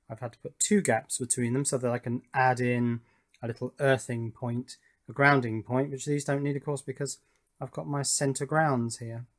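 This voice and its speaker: Man explaining hands-on electronics. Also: medium pitch. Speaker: electronics hobbyist video host. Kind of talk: unscripted workbench explanation.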